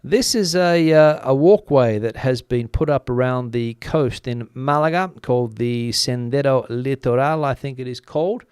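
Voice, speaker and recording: Chatty middle-aged man, smooth dry voice. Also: medium pitch, moderate pace, few pauses, a little mellow, no echo. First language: English. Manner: chatty and rambling, many "uh" fillers. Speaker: news channel host. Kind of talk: casual live-stream commentary. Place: home studio in front of a backdrop picture.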